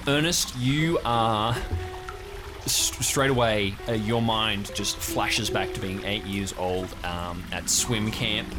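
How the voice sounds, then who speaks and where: A man's voice: on the low side, slow. A man working as game master, improvising vivid second-person narration of a tabletop adventure. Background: music.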